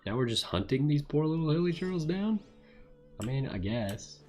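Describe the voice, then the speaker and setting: A man's voice: a little high, big pitch swings, normal speed, darkish tone, faint music behind. A man streaming a video game, giving laid-back casual commentary as he muses aloud.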